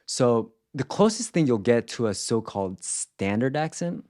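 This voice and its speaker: A man presenting a language lesson in scripted instructional narration, explaining clearly and enunciating example words with care. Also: medium pitch, sing-song, moderate pace, darkish tone. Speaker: language teacher presenting a video series.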